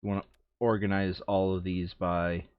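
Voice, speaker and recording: A man's voice: sits low, level tone, normal speed, clean tone, no echo, narrow, tinny audio. A man chatting casually as he hosts a board game, thinking aloud and often correcting himself as he goes. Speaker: board game player and video host. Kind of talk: casual chat while sorting game cards.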